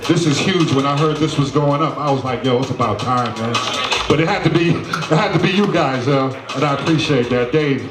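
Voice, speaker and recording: A man's voice: medium pitch, brisk. Man, from Trinidad, rambling thankful speech, echoey hall, music behind. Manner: loose, grateful, rambling with fillers. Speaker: DJ honoree giving acceptance speech. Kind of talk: casual acceptance speech.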